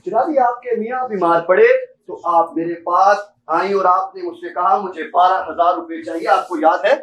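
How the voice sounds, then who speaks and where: A man's voice: high voice, normal speed, fairly bright tone. A student actor, a young man with a Pakistani accent, playing an insistent, politely menacing bank clerk in an amateur stage drama. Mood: coldly menacing. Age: young adult. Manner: formal, insistent, repeatedly addressing the listener politely. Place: seminar hall stage. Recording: distant mic in a hall.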